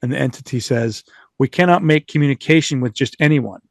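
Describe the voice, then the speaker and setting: A man's voice: mid-range voice, fairly quick, creaky, no echo, clean studio sound. A man chatting informally as a podcast host, retelling and reading out accounts, often wandering into asides, with some light swearing.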